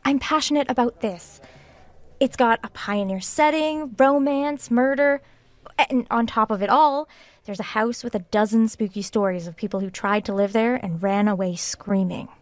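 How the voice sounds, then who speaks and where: A woman's voice: on the high side, normal speed, no room sound, lightly acted. A woman acting in an audio drama as an earnest, stubborn author who hesitates and then insists. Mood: pleading, passionate. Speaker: actor.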